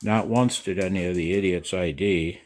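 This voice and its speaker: An older man talking casually and in a rambling way to his viewers as a video host, folksy and halting, with a gravelly voice. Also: low-pitched. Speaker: amateur radio operator and video host. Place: outdoors in the cold.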